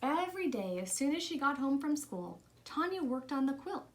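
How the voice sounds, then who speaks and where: A woman's voice: sits high, very animated, moderate pace, slight background noise. A woman reading a children's picture book aloud as scripted story narration. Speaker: storybook reader.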